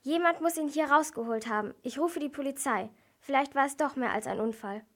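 A very high female voice gives us a worried night nurse, acted by a young amateur. She is dutiful and alarmed, in the manner of a homemade radio crime play. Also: a little fast, fairly bright tone.

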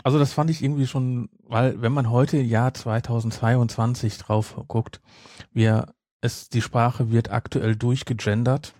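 Casual podcast host, male, relaxed mellow delivery that rambles and self-corrects, very dry recording. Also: medium pitch, measured pace, no echo.

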